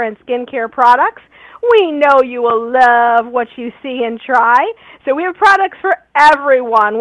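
A woman co-host reading station announcements on a radio show in a brisk, upbeat promotional way. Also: high-pitched, fairly bright tone, dry recording. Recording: dull, lo-fi, dry sound.

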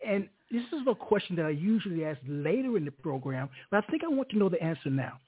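An effusive man hosts a radio interview, laughing easily between questions. His slightly high voice swings widely and sounds a little husky, squeezed through a narrow, phone-like line. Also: sing-song, fast talker. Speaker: radio show host.

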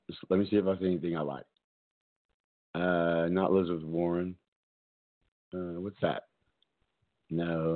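A man hosting a casual talk stream from home, loud-voiced, rambling and chatty, often laughing, talking into a headset. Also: mid-range voice, phone-quality sound. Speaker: talk show host.

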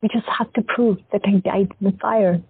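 A woman voicing a gentle, calm young patient who has visions, speaking in a soft, earnest way in an audio drama. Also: medium pitch, normal speed, breathy edge, dry recording. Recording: dry, thin phone-like sound.

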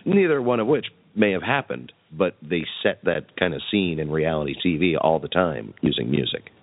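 A man with an extremely deep voice chats casually and wryly, like a podcast co-host. He comes through dry and narrow, as if over a phone. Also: animated, moderate pace, no echo.

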